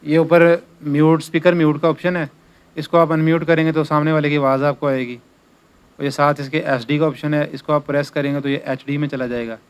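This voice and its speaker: A man with a clear, smooth voice talks through an informal how-to. He goes step by step like a working technician showing a job, and repeats his instructions as he goes. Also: a little high, flat delivery, a little fast. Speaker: electrician giving installation tutorial. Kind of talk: informal hands-on tutorial narration.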